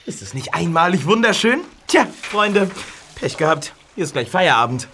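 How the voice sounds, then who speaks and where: A woman's voice: fairly low pitch, big pitch swings, moderate pace, a bit bright, slight background noise. A woman voicing a vain, show-off red male steam engine in a children's audio drama, mocking and boastful.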